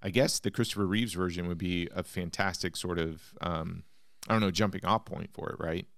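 This man speaks in a fairly low, smooth voice. He hosts a podcast in an eager, slightly rambling way. The sound is close and dry. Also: brisk, fairly bright tone, no room sound. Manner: enthusiastic, rambling, asks guests questions. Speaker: podcast host. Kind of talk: casual podcast hosting.

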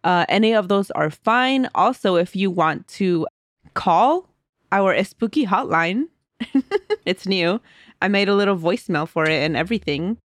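Casting: chatty, giggly woman co-host, close dry mic, mid pitch. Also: expressive, a little slow, dry recording. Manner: giggly, chatty, reads aloud with asides. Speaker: podcast co-host. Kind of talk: casual chat and reading listener stories.

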